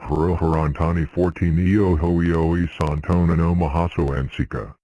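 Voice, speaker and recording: A man's voice: low-pitched, steady tone, normal speed, mellow, no room sound, muffled recording. A man reading a scripted emergency alert as an announcer, in a stilted, syllable-by-syllable way.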